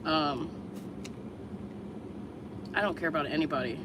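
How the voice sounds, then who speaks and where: A woman's voice: deep voice, measured pace, very noisy. A woman in her thirties from the Chicago area, chatting bluntly and candidly with viewers on a casual livestream and swearing freely. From Chicago area, USA. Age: 30s. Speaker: vlogger answering viewers live.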